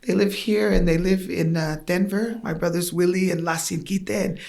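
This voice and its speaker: A woman's voice: on the low side, fairly even intonation, moderate pace, gravelly, darkish tone. A middle-aged woman from Tonga whose first language is Tongan, a podcast guest earnestly and reflectively recounting her upbringing. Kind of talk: podcast interview, recounting childhood.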